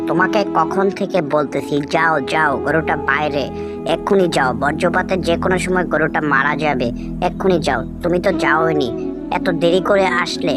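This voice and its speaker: A woman's voice: low voice, lightly acted. A woman voicing a practical, emphatic wife of a poor farmer in a children's cartoon. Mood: scolding, distressed.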